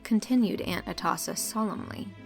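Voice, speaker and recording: A woman's voice: mid-range voice, moderate pace, gravelly, darkish tone, soft background music. A woman narrator reading a scripted storybook in an audiobook style.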